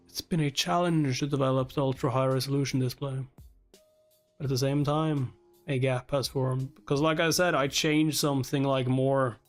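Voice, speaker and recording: A man with a fairly high voice does a casual live read-along for viewers. He talks informally and swears offhandedly. Soft music plays behind him. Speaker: livestreamer reading a webtoon aloud and reacting. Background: background music.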